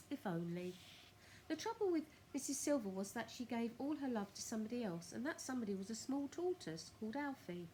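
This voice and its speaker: Female primary school storyteller: bright expressive reading, swooping intonation, careful dramatic phrasing, slight noise behind. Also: a little high, rough recording. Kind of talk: expressive children's story narration.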